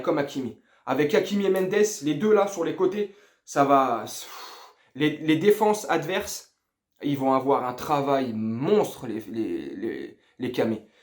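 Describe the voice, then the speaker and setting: A man with a fairly high voice talks as a football video host in a roomy, echoing space. His delivery is casual and opinionated, full of slang, with emphatic enthusiasm for the game. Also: darkish tone.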